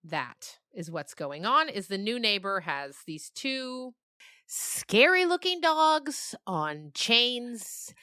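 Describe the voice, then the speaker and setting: Bright-voiced woman telling stories, close and dry. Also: fairly high pitch, very animated, slow pace, no room sound. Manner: emphatic, often checks in with "right?". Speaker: interviewed novelist. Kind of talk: animated conversational storytelling.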